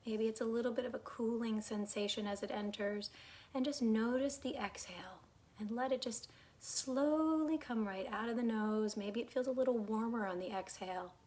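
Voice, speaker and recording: Calm woman guiding a meditation. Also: a little high. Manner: calm, unhurried, soothing, soft phrases trailing into breathiness. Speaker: guided meditation teacher. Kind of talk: guided meditation, gentle instruction.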